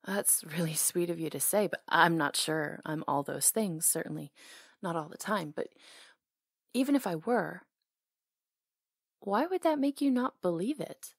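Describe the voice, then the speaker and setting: A woman playing a flirty, tender salon owner with a crush, speaking softly and intimately in an ASMR romantic roleplay, teasing, pausing and sometimes near a whisper. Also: fairly low pitch, expressive, dry recording, studio quality, exaggerated voice. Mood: earnest, affectionate. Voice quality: soft, breathy, sometimes whispery. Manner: teasing, hesitant pauses, intimate.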